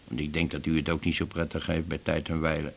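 A man's voice: a bass voice, flat delivery, quick pace, gravelly, full character voice. An actor playing an aggrieved, garrulous retired glue salesman in his fifties in a radio drama, rambling and grumbling. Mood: confiding. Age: 50s. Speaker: patient in a doctor's office. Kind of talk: naturalistic radio drama acting.

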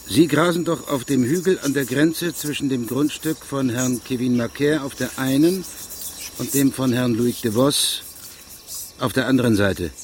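A man's voice: mid-range voice, moderate pace, natural voice. Male actor as investigating judge, radio drama, formal, exacting, persistent, noisy background. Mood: formal, methodical. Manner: formal, precise questioning. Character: methodical, persistent investigating judge questioning witnesses. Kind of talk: German radio drama acting.

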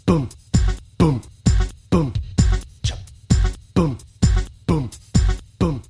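A man's voice: fairly high pitch, very slow. An actor in a radio play, playing a playful man voicing rhythmic dance-floor beats. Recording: long reverb, loud music. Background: loud music.

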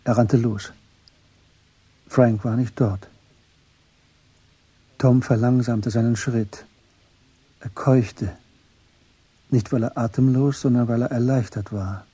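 A man narrates a crime story in a measured, grave way. His voice is dark and very breathy, and he takes his time over each phrase. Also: medium pitch, no echo. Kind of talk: literary narration in a radio crime drama.

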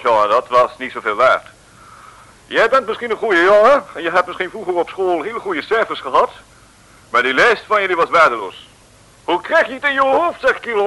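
High-voiced blustery, jovial colonel. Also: male voice, bright, forceful tone, heavily acted, low-quality recording. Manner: jovial, patronising, repeats himself. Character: jovial, blustery male colonel, breezy and tactless. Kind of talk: 1950s radio serial acting.

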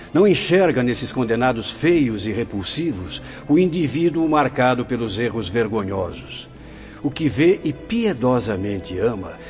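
A Brazilian actor playing a benevolent old friar in a radio novel. His mid-pitched voice is fatherly, formal and reassuring. The recording is dull, with faint music. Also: darkish tone, close to an everyday voice. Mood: kindly, approving. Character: kindly, pious elder friar, fatherly mentor.

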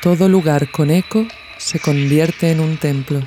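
Mellow, higher-pitched male narrator from a podcast. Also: steady tone, a little slow. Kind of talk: scripted narration, literary audio essay. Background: music.

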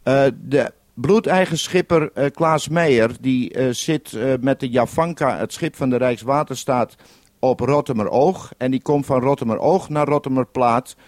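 A man's voice: medium pitch, normal speed, darkish tone, no room sound. A man speaking in an instructive manner on a two-way radio link, giving step-by-step directions in a vintage 1970s broadcast. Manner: instructive, ends turns with radio procedure word. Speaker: radio programme maker on the mainland. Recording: 1971 radio broadcast recording.

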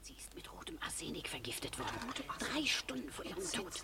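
A woman's voice: sits low, very animated, a little fast, gravelly, in a whisper, exaggerated voice. A woman playing a superstitious, conspiratorial gossiping neighbour in a 1950s German radio play. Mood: hushed alarm. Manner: conspiratorial, aghast. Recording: noisy, distant old radio recording.

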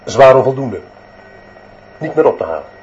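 An actor playing an authoritarian, unbending headmaster in a radio play, speaking in measured, pompous pronouncements. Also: male voice, medium pitch, very animated, darkish tone, highs cut off, natural voice. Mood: self-important, severe. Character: authoritarian, unbending school headmaster obsessed with discipline. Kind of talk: Dutch radio play acting.

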